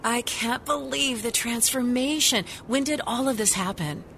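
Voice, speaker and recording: An actress plays a caring female reporter in a radio drama. Her voice is slightly high and earnest, set against a faint background ambience. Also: unhurried, gravelly, fairly bright tone, slight background noise. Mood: amazed, moved. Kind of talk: audio drama dialogue.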